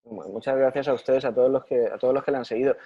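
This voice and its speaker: A man's voice: medium pitch, fairly even intonation, rapid-fire, dark tone, dry recording. A man from Spain with a Spanish accent, giving an enthusiastic, repetitive answer in a video call after a lecture. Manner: enthusiastic, repeats phrases for emphasis. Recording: muffled video call audio.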